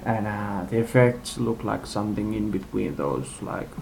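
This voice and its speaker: A man giving an unscripted, casual movie-review rant in a halting, blunt way, in a homemade recording. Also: on the low side, animated, vocal fry, low-quality recording. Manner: halting, rambling delivery. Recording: homemade, radio music playing behind. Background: music from a live radio.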